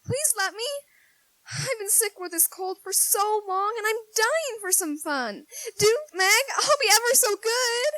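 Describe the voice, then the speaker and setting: A woman's voice: very high voice, animated, unhurried, pushed and bright, no echo, full character voice, poor audio. A woman acting a petulant, pleading little girl with a whiny, dramatic delivery in a 1940s-style radio drama. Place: live theater stage.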